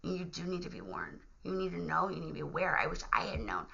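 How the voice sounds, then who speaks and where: Hoarse, gravelly woman, urgent appeal. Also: lowish voice, sing-song, fast talker, sharp tone. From Virginia, USA. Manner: urgent and emphatic, drops to whispers. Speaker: vlogger. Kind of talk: impassioned direct-to-camera appeal. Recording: dull lo-fi recording.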